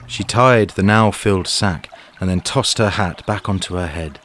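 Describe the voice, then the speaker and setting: A man's voice: low voice, normal speed, fairly bright tone, a little hiss or hum. A man narrating a scripted fantasy story for an audio drama.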